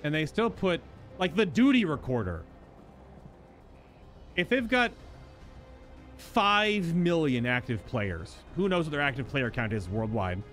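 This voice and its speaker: Male streamer, animated intonation, casual thinking-out-loud game commentary, faint music underneath. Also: a little high, a little slow, long pauses. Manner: rambling, musing, thinking aloud. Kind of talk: casual live stream commentary, reading game dialogue aloud.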